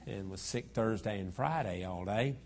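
Hoarse folksy preacher. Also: male voice, lowish voice, moderate pace, a little mellow. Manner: folksy, self-deprecating, chuckling asides. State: hoarse from a cold. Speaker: guest preacher. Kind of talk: joking sermon opening. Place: church auditorium. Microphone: lapel mic.

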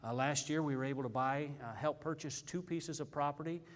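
A man's voice: a little high, a little fast. A man in his sixties giving a chatty church testimony as a visiting missionary preacher, with lots of fillers and amens. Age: 60s. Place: church sanctuary.

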